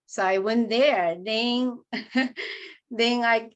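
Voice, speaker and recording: Halting woman guest on a video call. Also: on the high side, steady tone, highs cut off. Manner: halting, restarts words, laughs lightly. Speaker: artist guest. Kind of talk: remote panel talk.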